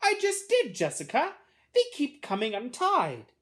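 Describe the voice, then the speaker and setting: A woman's voice: high voice, huge pitch swings, measured pace, voice pushed up, heavily acted. A woman voicing an exasperated little boy in a storybook, plaintive and dramatic, full of exclamations. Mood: protesting. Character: exasperated little boy plagued by untied shoelaces.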